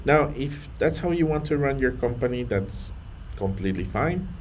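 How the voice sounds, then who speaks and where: Man, earnest to-camera vlogger, mid pitch, faint room noise. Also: expressive. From Colombia. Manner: earnest, measured, with fillers and restarts. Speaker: vlogger on data careers. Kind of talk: to-camera talk.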